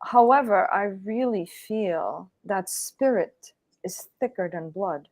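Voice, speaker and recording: A woman speaking as an interview guest in a measured, deliberate way, with frequent pauses. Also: medium pitch, expressive, a little mellow, no room sound. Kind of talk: reflective interview talk.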